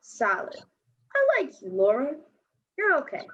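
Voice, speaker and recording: Woman acting mouthy defensive 14 yr old skater girl, high scratchy voice, slangy, dull lo-fi audio. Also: huge pitch swings, a little slow, full character voice. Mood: hopeful. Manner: blunt, slangy, sarcastic. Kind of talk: staged play reading. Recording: dull lo-fi recording.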